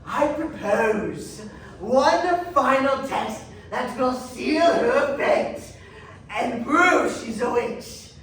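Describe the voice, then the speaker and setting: A woman's voice: sits high, animated, fairly bright tone, full character voice. A woman playing a merciless, gleeful witch-trial accuser in a theatrical stage performance. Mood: gloating. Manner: theatrical, slow, pronouncing. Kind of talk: readers theatre stage acting. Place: large echoey indoor room, distant mic. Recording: very echoey hall from far off.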